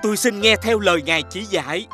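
A man playing a humble elderly village father in an animated fairy tale, speaking in a deferential, plaintive way. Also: high voice, fast talker, faint music behind. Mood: humble gratitude.

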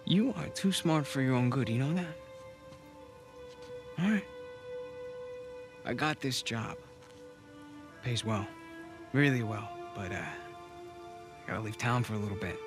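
A man's voice: medium pitch, expressive, unhurried, long pauses. A man playing a protective, streetwise older brother in a video game cutscene, talking casually and persuasively, sometimes hesitant. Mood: casual, hedging. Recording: music behind the dialogue.

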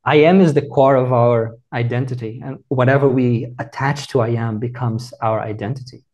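A man, answering in a measured, reflective, teacherly way over a video call. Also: medium pitch, fairly even intonation, darkish tone. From Brazil. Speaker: meditation teacher, guest. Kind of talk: calm explanatory interview answers.

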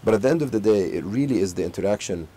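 Fast-talking low-voiced businessman. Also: breathy edge. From Saudi Arabia. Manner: fast, animated storytelling full of fillers and restarts. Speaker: company CEO, interview guest. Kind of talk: casual interview talk. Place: outdoor garden beside a pool. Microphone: lapel mic.

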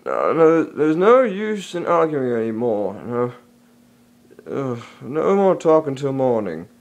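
An actor playing a stern, protective panther in an animated film, authoritative and easily exasperated. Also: male voice, medium pitch, slow. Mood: exasperated, scolding. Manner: authoritative, formal, scolding.

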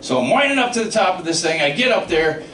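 A man's voice: high voice, sing-song, fast, fairly bright tone, a little hiss or hum. A middle-aged man doing a stand-up comedy set about trucking, telling stories with sound effects and asides to the crowd. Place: small comedy club stage. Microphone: handheld mic, filmed from the audience. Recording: echoey club from far off. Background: audience laughter.

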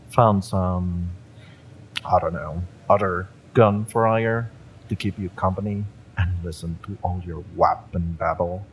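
A man playing a fiery, spurned mercenary ex-bodyguard in an audio roleplay, mocking and drawling with menacing intimacy and dramatic pauses. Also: deep voice, slight background noise, exaggerated voice, poor audio. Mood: bitter, taunting. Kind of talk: scripted audio roleplay addressed to the listener.

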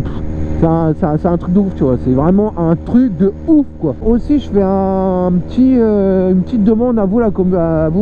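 Young dude motovlogging, high voice, slangy and rambly, muffled helmet mic w/ engine and wind noise. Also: male voice. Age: young adult. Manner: slangy, hesitant, repeats words for emphasis. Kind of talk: casual vlog monologue while riding. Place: outdoors, riding a moped on country roads. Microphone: microphone inside a motorcycle helmet.

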